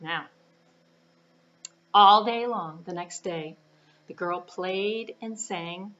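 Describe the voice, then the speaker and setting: A woman's voice: fairly low pitch, very slow, fairly bright tone, dull audio. A woman telling a puppet show story to young children, in simple phrases with spoken sound effects.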